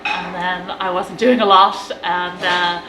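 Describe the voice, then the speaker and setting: A woman's voice: on the low side, level tone, slow pace, pushed and bright. Irish woman from galway, chatty, lots of ums and likes, roomy café with noise behind. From Ireland. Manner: chatty, lots of fillers and laughs. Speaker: café owner. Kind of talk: casual interview chat. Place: inside her small café. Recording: echoey café room with background noise.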